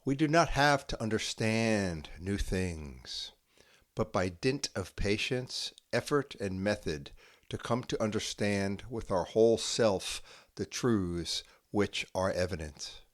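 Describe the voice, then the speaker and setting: A man reading a philosophical essay aloud in a measured, deliberate way, with long pauses between phrases. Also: fairly low pitch, expressive. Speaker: reader and host. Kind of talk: slow solemn reading of an essay.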